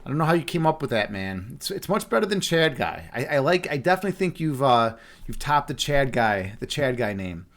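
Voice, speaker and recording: A man's voice: mid-range voice, very animated, brisk, a bit bright. A young man with a Chicago accent, casually chatting with viewers on a live stream, laid-back and chatty, calling people man and dude. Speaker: streamer and chess instructor.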